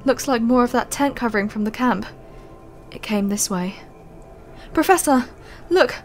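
A woman's voice: on the high side, normal speed, close to an everyday voice. A woman playing a blunt, frightened young woman on an expedition in an audio play, speaking colloquially. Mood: uneasy. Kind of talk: dramatic audio play dialogue. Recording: music under the voice. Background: dramatic music.

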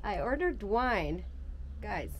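A woman's voice: mid-range voice, big pitch swings, takes it slowly. Young woman vlogging outdoors over background noise. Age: young adult. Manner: chatty, playful. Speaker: travel vlogger. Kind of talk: casual travel vlog talk. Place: mostly outdoors, porch, garden and street. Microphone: camera at arm's length, sometimes small handheld mic.

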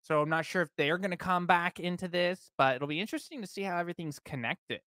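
A man's voice: sits high, quick pace, sharp tone, dry recording. A young man co-hosting a movie reaction, chatting casually and rambling with many fillers into a studio mic in a small room. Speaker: co-host of a movie reaction channel. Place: small home room, indoors. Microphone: studio mic on a stand, headphones.

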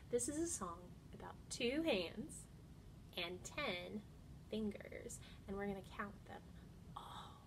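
A woman's voice: medium pitch, lively intonation, takes it slowly, vocal fry, long pauses, background noise. A woman in her thirties speaking to young children as a storytime host, in an encouraging, child-directed way, recorded indoors on a camera mic. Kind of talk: singing songs and reading aloud to young children. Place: indoor room by large windows.

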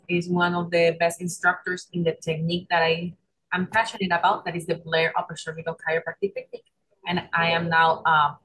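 A woman's voice: fairly low pitch, fairly bright tone, poor audio. A young woman with a Puerto Rican Spanish accent, a chiropractor giving an online lecture over a video call, explaining with frequent ums and restarts. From Puerto Rico. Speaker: chiropractor presenter.

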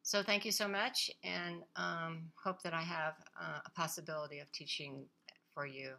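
A woman's voice: low-pitched, fairly even intonation, normal speed, creaky, sharp tone. A middle-aged or older woman giving an informal, hesitant, rambling self-introduction as a photographer and teacher talks through a portfolio.

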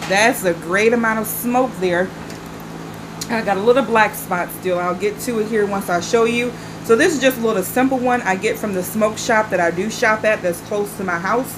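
A woman's voice: mid-range voice, normal speed, noisy background. A woman in her forties from the Carolinas with a stuffy nose and a slightly nasal voice, chatting casually to camera as a vlog host. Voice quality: a little nasal. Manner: relaxed, chatty, smoking while she talks. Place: outdoor patio at night.

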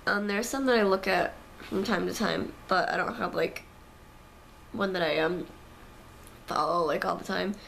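A woman's voice: fairly low pitch, moderate pace, creaky, long pauses, a bit bright, slight background noise, low-quality recording. A teenage American girl chatting casually to her webcam from her bedroom, rambling with lots of fillers. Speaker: vlogger. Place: bedroom, indoors. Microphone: webcam at close range.

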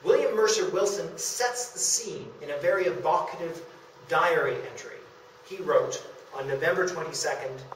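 A man's voice: on the high side, moderate pace. A man giving an illustrated history lecture as the speaker at a live talk. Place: meeting room in a historic house, before an audience. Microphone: distant camera in the audience. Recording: very echoey room, far off, faint noise.

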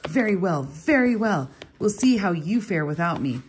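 A woman's voice: low-pitched, animated, moderate pace, gravelly, slight background noise. A woman voicing a whining, obsequious older male Babylonian servant in a storybook reading. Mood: spiteful. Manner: wheedling, obsequious.

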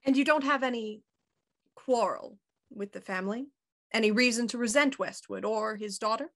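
Woman playing high-voiced, probing inspector. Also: fairly bright tone. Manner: probing, measured, dry. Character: cool, probing police inspector, dryly skeptical woman. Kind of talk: radio mystery acting, live online table read.

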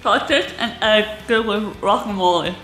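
A woman's voice: medium pitch, normal speed. A young woman hosting a home cooking show in a cabin kitchen, explaining each step in short, simple sentences. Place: cabin kitchen with wooden walls, indoors. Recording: roomy with music behind.

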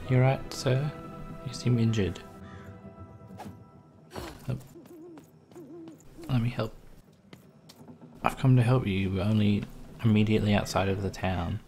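A man casually commenting while playing a game, joking and muttering reactions to the screen. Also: lowish voice. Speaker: gameplay commentator. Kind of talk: casual let's-play commentary.

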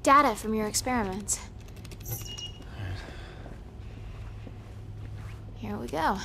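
An actress playing an earnest, determined young American female agent in a video game cutscene, speaking deliberately. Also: fairly high pitch, vocal fry, background noise. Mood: focused. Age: young adult. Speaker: video game character actor.

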